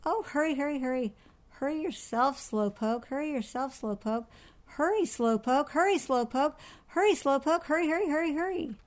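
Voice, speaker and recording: A woman voicing a rude, bossy female pet parrot in a children's story, squawking and repeating herself in a shrill, sassy way. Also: normal speed, close to an everyday voice. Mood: nagging, sassy. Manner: loud, repetitive, sassy yelling.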